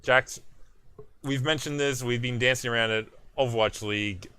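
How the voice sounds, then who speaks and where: A man's voice: medium pitch, moderate pace, bright, forceful tone, a little hiss or hum. A man with a Canadian accent co-hosting an esports show, reading out match results in casual chat with frequent restarts.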